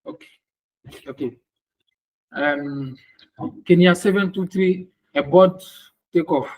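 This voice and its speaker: Guy doing english practice, kinda slow and hesitant, repeats stuff, voice a bit high. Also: highs cut off. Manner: hesitant, halting, repeats words. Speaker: student, air traffic controller. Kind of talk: answering exercises in a language lesson.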